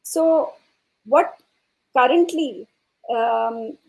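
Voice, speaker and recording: A woman's voice: fairly high pitch, extremely slow, low-quality recording. A woman speaking formally as an educator on a discussion panel.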